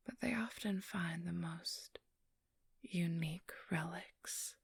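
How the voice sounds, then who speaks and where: A woman acts out an ageless female vampire thief in an intimate ASMR role-play. Her very husky, breathy whisper crackles with fry and moves slowly, haughty and teasing, right up at a dry microphone. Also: on the low side, no echo, exaggerated voice. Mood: sly, boastful. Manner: condescending, teasing, drawn-out delivery. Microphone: close, dry microphone.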